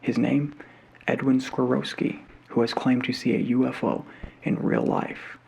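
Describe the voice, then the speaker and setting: A man playing a cheery 1950s television talk show host, speaking softly with salesman patter. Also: medium pitch, little pitch movement, a little slow, vocal fry, slight background noise, poor audio. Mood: chipper. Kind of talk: 1950s television announcer parody, soft-spoken.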